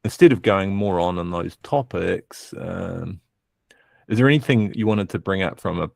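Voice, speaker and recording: A man's voice: deep voice, normal speed, vocal fry, no echo, clean studio sound. A man co-hosting a casual live stream, talking in a hesitant, rambling, thoughtful way. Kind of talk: casual chat.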